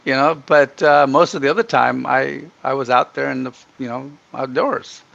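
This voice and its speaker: A middle-aged man from Ghana giving earnest, opinionated interview answers, emphatic and halting as he restarts his sentences. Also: mid-range voice, steady tone, creaky, fairly bright tone. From Ghana, grown up in Africa and the Middle East. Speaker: podcast guest. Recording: dull, muffled lo-fi connection.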